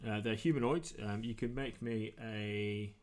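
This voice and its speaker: A man narrating a tabletop role-playing game as game master, describing things in a halting, improvised, conversational way. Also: fairly low pitch.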